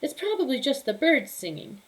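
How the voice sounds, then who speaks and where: A woman's voice: high-pitched, big pitch swings, normal speed, voice pushed up. A woman voicing a cranky, unfriendly old village woman from a storybook, curt and grumbling. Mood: muttering doubtfully. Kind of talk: storybook character voice.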